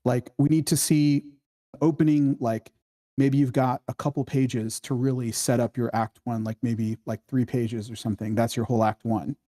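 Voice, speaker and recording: A man with a mellow, relaxed voice and an average pitch gives informal critique in a workshop. He talks haltingly, working his thoughts out as he goes. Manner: halting, informal, thinking aloud. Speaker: script workshop participant giving feedback. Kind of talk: casual spoken critique.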